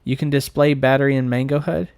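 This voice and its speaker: A man's voice: mid-range voice, flat delivery, fast talker, vocal fry, a little mellow, clean tone. Southern american guy streaming, chatting casually and joking around, reads comments, really dry sound no room echo. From United States. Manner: informal, joking. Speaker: live streamer. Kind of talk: casual live stream chat, reading and answering comments.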